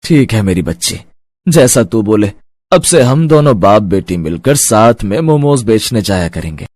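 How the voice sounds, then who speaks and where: A man playing a kind, wise middle-aged village father who drives an auto, speaking gently and reassuringly in a cartoon story. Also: fairly low pitch, animated, normal speed, no echo, studio quality. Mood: reassuring, determined.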